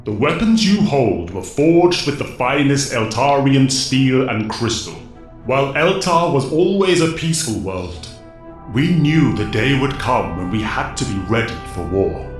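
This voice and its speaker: A noble old-world mentor, solemn and ceremonial. His mid-pitched voice echoes over music, as in a heroic audio drama. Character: wise, noble male alien mentor of a fallen world.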